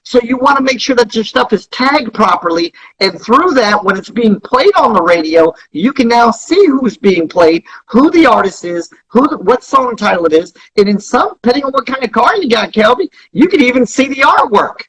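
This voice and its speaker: A man's voice: extremely high pitch, very animated, moderate pace, few pauses, fairly bright tone, slightly rough, rough recording. A man speaking emphatically as an interview guest, repeating key phrases to promote his business.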